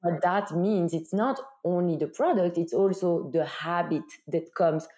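A Belgian-accented woman speaks as a founder being interviewed. Her voice sits a little low and has a dark, mellow tone. She explains conversationally, often posing questions and checking in with short tags. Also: unhurried.

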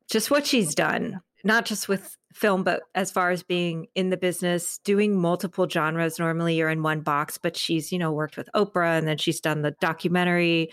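A middle-aged woman talking casually as an interview guest, telling stories in an animated, effusive way and acting out bits of dialogue. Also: fairly low pitch, no room sound. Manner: animated, effusive, re-enacts dialogue, laughs often. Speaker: podcast guest, author and creative.

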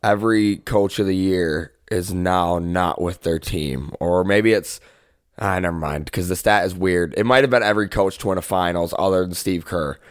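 A young man co-hosts a sports podcast with a very deep voice. He talks in a relaxed, loose, chatty way. The sound is dry and close. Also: moderate pace, dry recording. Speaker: podcast co-host. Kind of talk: casual sports chat.

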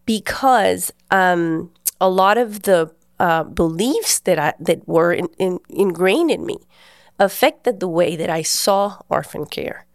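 A woman's voice: mid-range voice, dry recording, clean studio sound. Woman hosting a faith podcast. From Guatemala. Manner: earnest and conversational. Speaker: podcast host. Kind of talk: personal faith storytelling.

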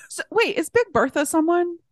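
A woman's voice: very high-pitched, big pitch swings, moderate pace, dry recording. A woman co-hosting a casual podcast chat in a playful, joking way. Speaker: podcast co-host.

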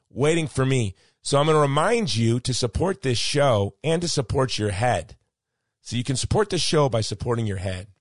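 Podcast host, male, hoarse and losing his voice, rambling storyteller, dry close sound. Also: mid-range voice, darkish tone, no echo. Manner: rambling, personal storytelling with frequent ums. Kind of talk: casual monologue wrapping up a podcast.

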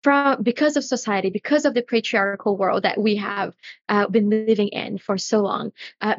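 A woman's voice: on the high side, no room sound, lo-fi sound. A woman from Brazil, a guest in an interview, talking in an earnest, searching way with many fillers and restarts. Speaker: guest, retreat facilitator. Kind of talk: reflective conversational interview.

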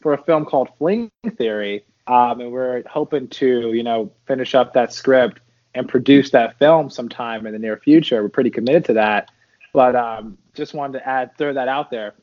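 A man being interviewed as a podcast guest, chatting casually in a loose, bantering, halting way. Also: medium pitch, muffled recording.

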